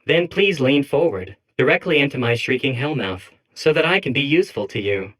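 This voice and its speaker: Insistent, stilted sinister machine, medium-pitched. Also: male voice, lively intonation, fairly quick, fairly bright tone, rough recording. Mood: coaxing, ominous. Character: suspicious demonic machine core insisting it is harmless. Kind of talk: comedic video game character acting.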